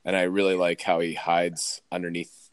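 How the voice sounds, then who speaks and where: A man's voice: deep voice, completely flat delivery, normal speed, clean tone, dry recording. A man appearing as a podcast guest, rambling casually and filling his speech with "like". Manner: rambling, lots of "like".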